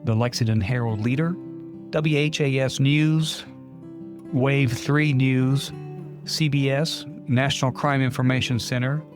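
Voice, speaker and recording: A man's voice: mid-range voice, steady tone, measured pace. A man hosting a podcast, reading scripted announcements and credits. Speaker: podcast host. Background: guitar music.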